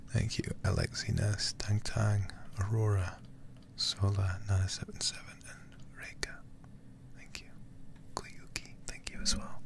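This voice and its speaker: Deep-ish guy doing whispery asmr game stream, slow n hushed, close on the mic. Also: little pitch movement. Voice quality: breathy whisper over a low, gravelly voice. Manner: hushed, unhurried murmuring. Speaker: livestream host, gamer. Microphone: close-up microphone.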